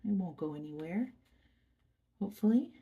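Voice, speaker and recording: A woman host chatting softly to viewers while doing a craft project, her nose stuffy, recorded on a phone at her workplace. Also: lowish voice, lively intonation, normal speed, phone-quality sound. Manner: soft, chatty, murmuring to viewers. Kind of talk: casual chat while crafting. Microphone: phone camera.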